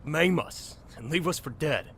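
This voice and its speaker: A man's voice: on the high side, expressive, a little slow, a bit bright, slight background noise. A man playing a brash, suspicious young survivor in a zombie audio drama, blunt and confrontational.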